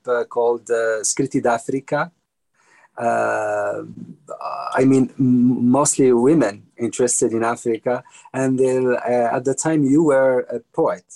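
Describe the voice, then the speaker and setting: A man's voice: medium pitch, dark tone, poor audio. A man in his fifties, a native Arabic speaker, talking on a panel in an anecdotal, storytelling way that repeats words for emphasis. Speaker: panel guest, writer. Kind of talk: panel conversation.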